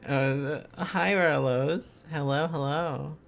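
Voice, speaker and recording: A man's voice: on the high side, takes it slowly, creaky. A man livestreaming in a casual, playful way, thinking aloud as he chats to viewers. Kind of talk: casual livestream study chat.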